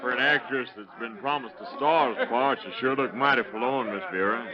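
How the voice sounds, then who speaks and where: A man's voice: medium pitch, a little fast, a crowd in the background, natural voice. A man acting a folksy, rustic cowboy in an old radio drama, in a dull vintage recording. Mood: teasing, friendly. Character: folksy, drawling male cowboy at a party.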